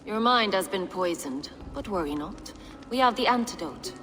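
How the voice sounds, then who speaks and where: An actress playing a cold, fanatical abbess villain in a video game, imperious, measured and menacing. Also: female voice, medium pitch, expressive, a bit bright, lightly acted. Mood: cold, righteous. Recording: long hall reverb, music behind.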